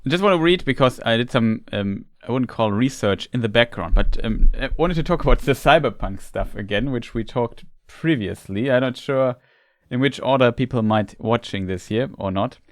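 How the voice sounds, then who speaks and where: A young man streams from home with a German accent and talks casually to his chat at a middle pitch. The sound is dry and close on a desk mic. He often pauses to hunt for the right English word. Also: lively intonation, no room sound. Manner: hesitant, searching for words. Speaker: streamer reacting to a showcase.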